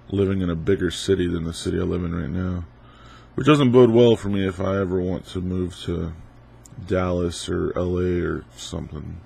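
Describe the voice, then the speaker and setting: A man's voice: deep voice, gravelly, darkish tone, husky. A man in his twenties with a stuffy head cold, answering viewer questions in a laid-back, rambling way. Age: 20s. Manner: laid-back, rambling, lots of ums and uhs. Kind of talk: casual Q&A video.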